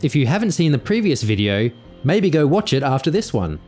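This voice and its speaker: A man's voice: a little high, sing-song, fairly quick, a little mellow, faint music behind. A man reading scripted explanatory narration for an aviation incident video.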